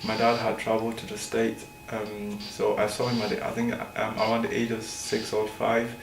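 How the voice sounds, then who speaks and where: A young man with a Ghanaian accent talks informally in a fairly low, even voice. He is recorded in an echoey, noisy room. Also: normal speed. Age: young adult. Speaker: student athlete interviewee. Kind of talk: casual, informal talk about family. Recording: echoey room with clear noise.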